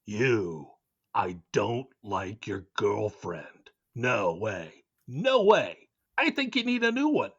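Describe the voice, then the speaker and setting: A man plays an overblown dramatic reciter who milks every phrase. The delivery is emphatic and stretched out, swinging widely in pitch. The recording is a touch dull. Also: big pitch swings, a bit bright, breathy edge, exaggerated voice. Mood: hammy, indignant. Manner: hammy, emphatic, drawn-out. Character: overacting dramatic reciter of pop lyrics. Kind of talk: dramatic reading.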